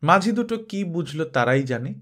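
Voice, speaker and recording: A man reading a story aloud as an expressive scripted narration. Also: a little high, normal speed.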